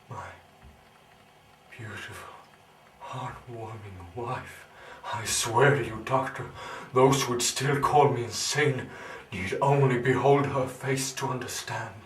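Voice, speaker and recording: An actor playing an anguished, brooding scientist villain in a theatrical monologue, grandiose and melancholy, with halting dramatic pauses. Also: male voice, fairly low pitch, fairly bright tone. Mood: yearning, impassioned. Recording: roomy, distant, slightly noisy recording.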